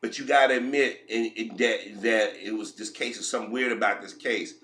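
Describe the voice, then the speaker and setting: A man's voice: on the low side, fairly even intonation, brisk, fairly bright tone, roomy sound. A man getting over a head cold, giving emphatic commentary with frequent repeats and restarts. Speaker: commentary vlogger. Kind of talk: opinionated commentary.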